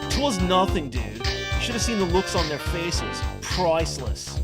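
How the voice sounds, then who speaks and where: Man as slangy surfer-dude turtle henchman for a cartoon. Cocky, dim, animated pitch, music underneath. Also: a little slow. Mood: smug, relaxed. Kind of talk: fan cartoon overdub.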